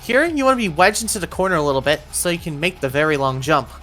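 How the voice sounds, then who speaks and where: Lively low-pitched woman guiding gameplay. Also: quick pace, soft background music. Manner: step-by-step, directive. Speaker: video game guide narrator. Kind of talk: instructional gameplay walkthrough voice-over.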